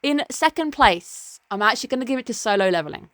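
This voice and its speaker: A woman reviewing anime in a casual, chatty way. She sounds enthusiastic and adds quick asides. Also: a little high, animated, a bit bright, dry recording, clean studio sound. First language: English.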